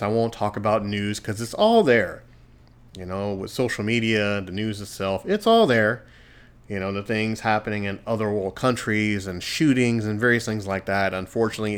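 A man's voice: fairly low pitch, clear, resonant tone. A man hosting his own solo podcast from a studio, talking in an earnest, encouraging way with a radio-DJ delivery. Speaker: podcast host. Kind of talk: casual solo podcast monologue. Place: home studio.

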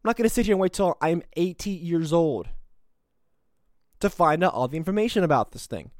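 A young man in his twenties rants through his solo podcast, sarcastic and combative, often rambling. His voice sits a bit high for a man. The recording is dry and close, with no room sound. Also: lively intonation, fairly quick, no echo. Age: 20s. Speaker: podcast host. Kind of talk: opinionated solo commentary.

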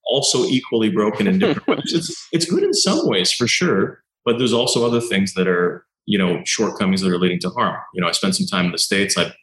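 A doctor and founder in his thirties, telling stories in an animated way and acting out quoted exchanges, with lots of "you know" fillers. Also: on the low side, a little fast, few pauses, roomy sound, rough recording. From Canada. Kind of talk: conversational interview answers.

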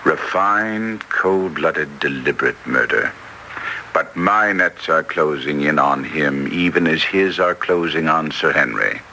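A man playing a brisk, masterful English detective in classic radio drama style, theatrical and clipped, with an English accent. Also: a bass voice, sharp tone, exaggerated voice. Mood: grave, confident. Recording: noisy vintage radio recording.

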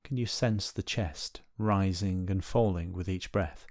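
Low-voiced man guiding a meditation. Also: dry recording, clean studio sound. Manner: gentle, unhurried instruction with pauses. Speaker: meditation instructor. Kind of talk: guided body scan meditation.

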